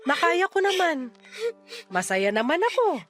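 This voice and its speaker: High-pitched, animated, doting Filipina mother. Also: a little slow, faint music behind, heavily acted. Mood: tender. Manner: breezy, teasing, expressive. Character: flighty, doting middle-aged mother, brash and tender. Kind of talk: Tagalog radio drama acting.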